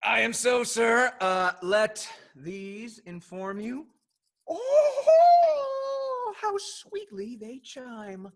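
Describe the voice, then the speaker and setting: Husky, wildly swooping roguish sea captain. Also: male voice, extremely high pitch, very animated, extremely slow, full character voice. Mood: gloating, delighted. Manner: theatrical, lingering, savouring delivery with exclamations. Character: roguish, lustful, boastful English sea captain, a carousing rake. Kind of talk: Restoration comedy stage acting.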